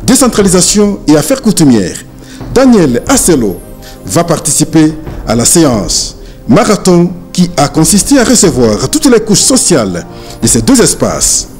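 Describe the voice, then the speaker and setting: A man's voice: on the high side, wildly swooping pitch, normal speed, a little mellow, soft background music. A man reading scripted news narration as a reporter.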